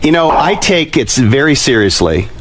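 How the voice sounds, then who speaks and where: A man's voice: mid-range voice, sing-song, moderate pace, husky, no room sound. Blunt middle-aged man explaining things. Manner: blunt, assertive. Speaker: expert guest, profiler and security professional. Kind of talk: explanatory radio interview talk. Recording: music mixed under the voice.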